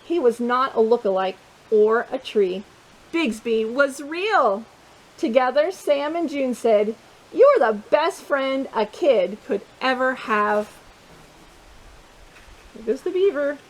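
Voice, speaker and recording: A woman hosting storytime, reading a picture book aloud to children in an expressive way, with chatty asides and questions to the listeners. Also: sits high, a little hiss or hum, low-quality recording.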